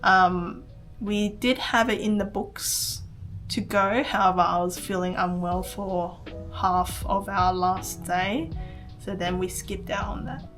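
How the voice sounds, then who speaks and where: A woman's voice: mid-range voice, steady tone, takes it slowly, fairly bright tone, soft background music. A young woman with an American accent, reading a scripted travel vlog voice-over and recommending meals one by one. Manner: earnest, recommending, reads list items. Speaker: travel vlogger.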